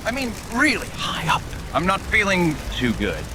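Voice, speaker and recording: An actor playing a bumbling, vain TV news reporter in a motion comic, forever correcting himself. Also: male voice, high-pitched, wildly swooping pitch, normal speed, noisy background. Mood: nervous, queasy. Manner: gaffe-prone, self-correcting.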